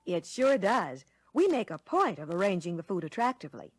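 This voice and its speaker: A woman playing a courteous American lunch counter waitress, explaining service clearly in a scripted 1960 training film. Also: lowish voice, very animated, a little fast, no room sound. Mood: pleasant, instructive. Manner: courteous, instructive, clearly worded.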